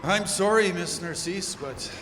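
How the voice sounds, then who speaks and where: A man's voice: high-pitched, measured pace. A man playing a courteous, authoritative ship's captain in an old-time radio adventure. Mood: reluctant command. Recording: echoey hall, clear background noise.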